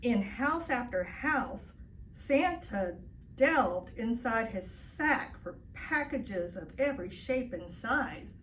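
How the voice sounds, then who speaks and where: Echoey, high, lively woman reading a story. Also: slow. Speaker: storybook reader. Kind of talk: children's story read aloud. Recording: echoey, distant mic, faint noise.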